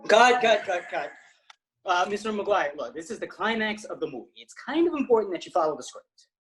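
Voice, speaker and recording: An animated voice playing a teenage boy who directs amateur films. He is earnest and bossy, in a sitcom script read on a video call. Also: sits high, a little fast, soft background music.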